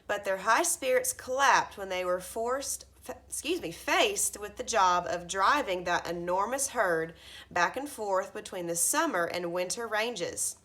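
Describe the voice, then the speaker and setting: A woman in her thirties reading a children's storybook aloud like a teacher, with expressive emphasis at the starts of phrases. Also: mid-range voice, unhurried. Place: carpeted living room at home, indoors.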